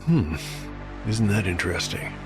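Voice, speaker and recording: A man narrates a dark fantasy audio drama as its solo game master. His delivery is that of scripted storytelling and game commentary. Music plays clearly behind him, along with cricket sounds. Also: deep voice, big pitch swings, measured pace.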